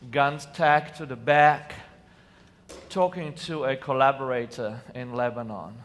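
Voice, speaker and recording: A man's voice: mid-range voice, fairly even intonation, measured pace, a bit bright. A man from Israel with an Israeli accent, telling personal stories earnestly to a conference audience, with sudden emphatic bursts. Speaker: conference speaker. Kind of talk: personal storytelling talk on stage. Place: large hall on a lit stage. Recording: distant hall reverb, faint room noise.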